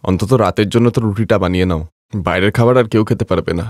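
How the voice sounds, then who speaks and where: A man's voice: deep voice, rapid-fire, no echo, studio quality, natural voice. A man voicing a caring, reasonable husband worried about his sick wife. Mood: pleading. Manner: concerned, reasoning.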